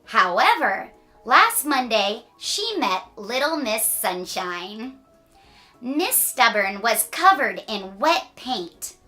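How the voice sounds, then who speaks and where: Bright, slow, animated woman reading a storybook. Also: a little high, soft background music. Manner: playful asides, dramatic drawn-out emphasis. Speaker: children's story reader. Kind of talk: animated read-aloud storytelling.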